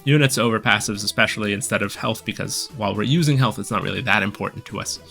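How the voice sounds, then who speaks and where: A man with a low voice talks through a game build, slightly hoarse from a cold. His voice carries a gravelly fry and many relaxed ums. Loud game music plays underneath. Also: fast. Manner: relaxed, explanatory, frequent ums. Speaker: gameplay guide commentator. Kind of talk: casual gameplay commentary.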